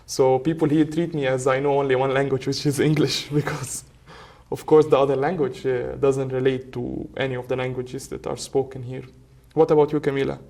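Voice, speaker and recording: Creaky-voiced young man, native Arabic speaker. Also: mid-range voice, little pitch movement, brisk, darkish tone. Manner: hesitant, many filler uhs. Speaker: podcast guest. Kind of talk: casual conversation. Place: podcast studio.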